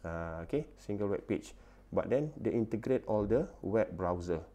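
A man's voice: on the low side, lively intonation, moderate pace, warm tone. A Malaysian-accented man in his thirties giving an informal slide lecture, repeating points and tagging phrases with "eh" and "okay". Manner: repetitive, with frequent "eh" and "okay" tags.

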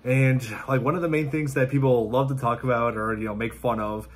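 Casual male reaction host, thirties, husky voice, amused and halting delivery. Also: medium pitch, steady tone. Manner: halting, amused, laughs while talking. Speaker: YouTube host reacting to video game clips. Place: small indoor room at home.

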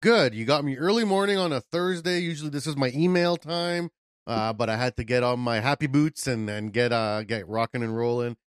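A man's voice: mid-range voice, brisk, clean tone, dry recording. Chatty male podcast host, dry mic. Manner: jokey, chatty, many fillers. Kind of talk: casual interview chat. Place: home studio, video call. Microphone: studio mic on boom.

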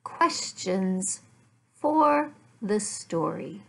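An American woman in her fifties teaching beginner English, speaking deliberately in short, simple, repeated phrases with pauses, recorded at home on a laptop microphone. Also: mid-range voice, sing-song, gravelly. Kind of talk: beginner language lesson, addressed to learners. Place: small furnished living room at home.

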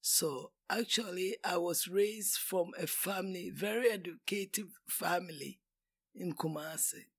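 A woman in her sixties with a Ghanaian accent, telling her life story as an interview guest in a deliberate, talkative way. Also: fairly low pitch, husky. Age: 60s. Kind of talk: casual interview.

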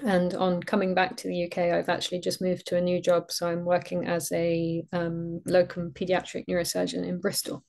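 A British woman in her forties, a neurosurgeon, gives a measured, reflective webinar talk over a video call. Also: fairly low pitch, creaky, warm tone. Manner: measured, reflective, with frequent ums. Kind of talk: webinar presentation. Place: indoor room, video call.

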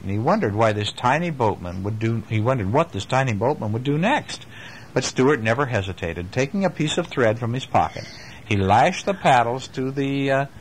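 A man's voice: lowish voice, a little hiss or hum, low-quality recording. Older man reading a bedtime story. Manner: gentle, chatty, asks the child questions.

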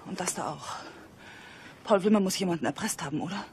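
A woman's voice: fairly low pitch, expressive, a little fast, background noise, lightly acted. A woman playing an earnest, troubled wife in a radio drama, hesitant yet insistent. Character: earnest, troubled wife pleading with a police inspector.

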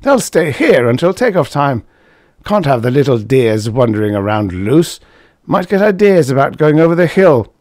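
A man voicing a brash, tipsy young male drill leader who hiccups and barks orders, in a lively, loud way. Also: medium pitch, normal speed, no room sound, clean studio sound, voice pushed up, lightly acted. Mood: gruff, brash. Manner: boastful, gruff barking orders.

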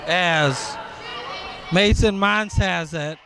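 A man doing live play-by-play of a school basketball game, calling plays in slow clipped bursts with occasional shouts. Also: high voice, vocal fry, noisy background. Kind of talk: live sports commentary. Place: school gym with crowd. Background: crowd and bouncing ball.

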